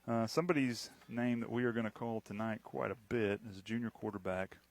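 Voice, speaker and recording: Chatty radio announcer. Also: male voice, fairly low pitch, steady tone, a little fast, vocal fry. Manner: chatty, rambling, teasing his partner. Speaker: play-by-play announcer. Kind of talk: local radio football commentary.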